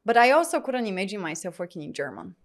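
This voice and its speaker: A woman from Bulgaria with a Bulgarian accent, speaking English as a guest in a casual interview, in an emphatic, animated way. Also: mid-range voice, quick pace. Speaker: interview guest, marketing freelancer.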